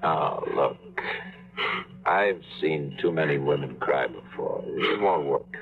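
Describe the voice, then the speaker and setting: An actor playing a dry, wisecracking adventurer in a 1950s radio drama, tinny old broadcast. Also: male voice, extremely low pitch, slow pace, soft background music, heavily acted. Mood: cool. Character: wisecracking adult male tour guide adventurer. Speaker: narrator and lead character.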